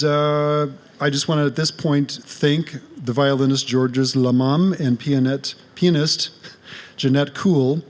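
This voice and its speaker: A man hosting a congregation program, introducing speakers and making announcements, with frequent ums and uhs. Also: medium pitch. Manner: reads bios, frequent uh and um. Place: congregation meeting hall.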